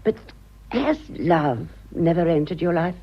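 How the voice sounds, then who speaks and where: An actress playing a vain, theatrical aging British society wife in a radio drama, grand, emphatic and melodramatic. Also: female voice, lowish voice, animated, a little slow, husky, heavily acted. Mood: reflective. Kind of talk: radio drama acting of a stage comedy. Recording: dull lo-fi radio drama recording.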